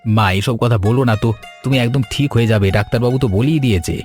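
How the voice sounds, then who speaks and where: A man's voice: mid-range voice, fast, darkish tone, soft background music, natural voice. A man voicing an earnest, reassuring grown son in a cartoon story. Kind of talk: animated story voice acting.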